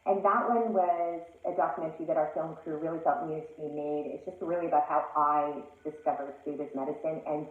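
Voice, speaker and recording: A woman's voice: sits low, steady tone, fairly quick, few pauses. A woman from Canada, a guest in an interview, explaining her views in an earnest, emphatic way. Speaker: interview guest, plant-based food advocate. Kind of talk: casual interview chat. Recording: tinny phone-like line, echoey room.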